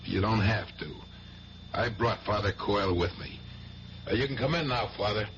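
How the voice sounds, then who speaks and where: An actor playing a gruff, protective older pal in a 1970s radio drama, speaking in a blunt, colloquial, avuncular way. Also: male voice, fairly low pitch, moderate pace, lo-fi sound, close to an everyday voice. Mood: concerned, coaxing. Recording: noisy, band-limited 1970s radio broadcast.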